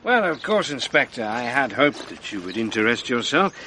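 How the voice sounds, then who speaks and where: An actor playing a courteous French police commissioner in a radio drama, with a polite, formal official manner. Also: male voice, mid-range voice, big pitch swings, moderate pace, bright, forceful tone, a little hiss or hum. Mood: courteous, businesslike.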